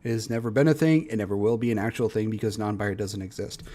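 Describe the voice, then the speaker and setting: A man's voice: fairly low pitch, rapid-fire, warm tone. A man doing casual reaction commentary as a streamer, talking bluntly and swearing often. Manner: blunt, profane ranting with frequent swearing.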